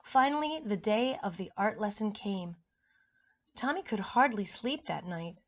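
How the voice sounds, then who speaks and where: A woman reading a story aloud to young children in an expressive, chatty way. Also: medium pitch, a little slow.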